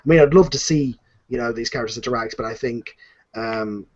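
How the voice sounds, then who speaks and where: Male panel host, opinionated and rambling, mid-range pitch, dull low-fidelity call audio. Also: brisk. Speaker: host and audio drama series creator. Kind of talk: casual unscripted panel discussion.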